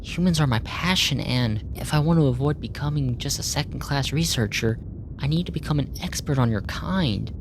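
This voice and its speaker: A woman's voice: lively intonation, moderate pace. A woman playing a curious, earnest alien researcher in an ASMR roleplay, speaking formally and clinically, puzzled by human feelings. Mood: earnest, negotiating. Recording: noisy with low rumble.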